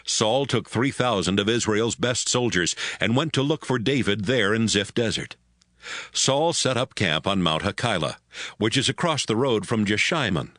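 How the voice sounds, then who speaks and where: A man narrating a scripted audio Bible as a story, in a measured storytelling manner. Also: on the low side, expressive, fairly bright tone, no echo, clean studio sound.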